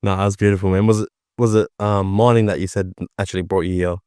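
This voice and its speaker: A man co-hosts a podcast and asks his guest easygoing questions in a casual, friendly tone. His voice sits low in pitch. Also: level tone, fast talker, warm tone, clean tone, no echo, clean studio sound. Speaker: podcast co-host. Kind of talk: casual interview chat.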